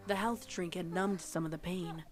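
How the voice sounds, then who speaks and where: Female story narrator, lowish voice, dramatic scripted prose, music bed beneath. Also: fairly even intonation, moderate pace. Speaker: audiobook-style story narrator. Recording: music playing under the narration.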